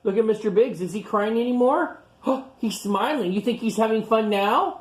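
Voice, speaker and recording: A woman doing a storytime for young children, reading and explaining in simple, repetitive words with gentle questions to her listeners. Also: a little high, moderate pace, poor audio. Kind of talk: picture-book read-aloud and simple chat to young children.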